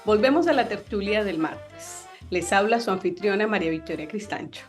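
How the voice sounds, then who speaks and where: A woman's voice: medium pitch, lively intonation, normal speed, soft background music. A woman hosting a Spanish-language talk show, welcoming listeners and chatting with her guest through questions and fillers. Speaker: host. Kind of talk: radio talk show interview.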